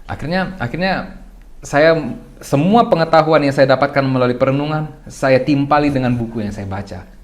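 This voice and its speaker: A young adult man, a podcast guest, talks through ideas in a loose, reflective way, asking himself rhetorical questions and often clearing his throat. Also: mid-range voice, moderate pace. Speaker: guest speaker. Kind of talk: casual podcast discussion.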